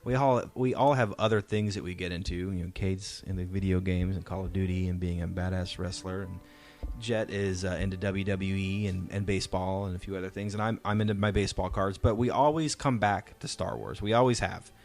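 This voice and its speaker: A man's voice: low voice, steady tone, brisk, darkish tone, soft background music. A middle-aged man hosting a casual family podcast chat in an encouraging manner. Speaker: podcast host.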